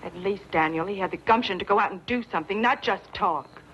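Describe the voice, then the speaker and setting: A woman's voice: medium pitch, a little fast, fairly bright tone, a little hiss or hum, natural voice. An actress playing a caring, spirited young frontier woman in a radio western, earnest and pleading. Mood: indignant. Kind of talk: old-time radio western acting. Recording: dull lo-fi radio drama, faint music.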